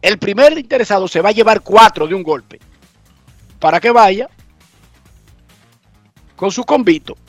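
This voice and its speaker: Guy hosting radio from his garage, stuffed up w a cold, high voice, dry sound, lil music behind. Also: animated, measured pace, long pauses, dry recording. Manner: chatty, emphatic, explains things repeatedly. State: congested with a cold. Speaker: radio show host. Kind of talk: casual radio talk. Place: home garage.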